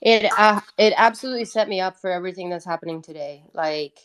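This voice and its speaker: A woman's voice: lowish voice, quick pace, fairly bright tone, smooth. A native Italian-speaking woman from Italy telling her story casually and candidly as an interview guest. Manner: candid, informal. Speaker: guest, independent musician.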